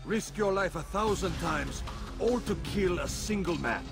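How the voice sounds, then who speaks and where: A man's voice: high voice, expressive, a little slow, music in the background. An actor playing a pious, worldly-wise medieval crusader king in a video game, stately and declamatory. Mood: curious, probing.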